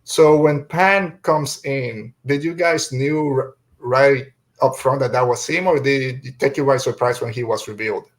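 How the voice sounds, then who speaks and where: A man's voice: mid-range voice, a little slow. A man hosting a podcast, talking casually through a review and often rephrasing mid-sentence. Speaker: podcast host.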